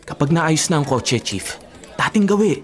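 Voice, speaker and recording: An actor with a Filipino accent playing a cautious, grumbling racing teammate who is easily talked round, in a radio drama. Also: male voice, on the high side, very animated, normal speed, faint music behind. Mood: exasperated.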